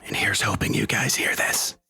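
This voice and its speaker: A man narrating a tabletop game as game master, with a halting, hesitant delivery. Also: bright, forceful tone, in a whisper, faint music behind.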